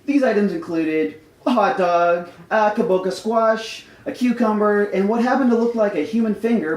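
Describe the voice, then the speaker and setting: Live comedy story reader, real expressive, fake-serious storybook style, amused, bit echoey. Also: mid-range voice. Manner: mock-formal storybook delivery, amused. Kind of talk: scripted live comedic narration.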